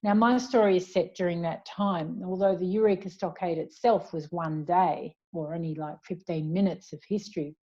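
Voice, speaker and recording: A woman's voice: on the low side, steady tone, moderate pace, few pauses, dark tone, dull audio. An Australian woman speaking as an author in a conversational interview, chatty and reflective as she explains at length. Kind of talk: conversational author talk, answering questions.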